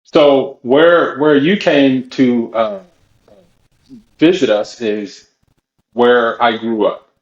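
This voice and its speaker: A man's voice: medium pitch, fairly even intonation, drawn-out words, pauses often, dull audio. A man from New Orleans with a New Orleans accent, a podcast guest who talks hesitantly with many fillers. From New Orleans, Louisiana.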